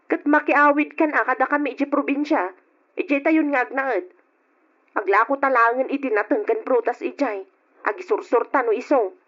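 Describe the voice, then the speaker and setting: A frustrated Ilocano wife and mother, insistent and high-voiced, as a woman plays her. Her voice comes through a dull, lo-fi old radio recording. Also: normal speed, fairly bright tone.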